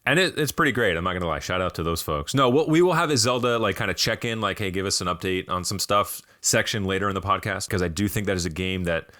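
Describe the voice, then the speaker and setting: Raspy American man, bright voice. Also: sits low, fast, hardly any pauses, no echo, clean studio sound. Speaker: podcast host. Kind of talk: casual video game podcast chat.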